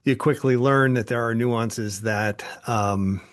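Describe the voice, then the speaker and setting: A man's voice: mid-range voice, slow. A man hosting a podcast in casual chat, talking hesitantly with many ums and uhs. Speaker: podcast host.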